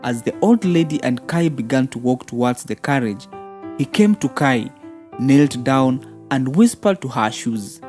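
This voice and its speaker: A woman's voice: rumbling low, lively intonation, a little slow. A woman narrating a scripted fairy tale for children as a storyteller. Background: soft background music.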